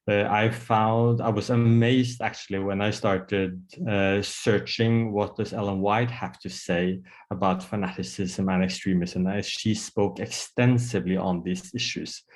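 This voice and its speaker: Norwegian-accented man, somewhat low voice, hesitant workshop presenter on a video call, lots of fillers. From Norway. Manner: hesitant, many fillers and restarts. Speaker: psychiatrist giving a workshop talk.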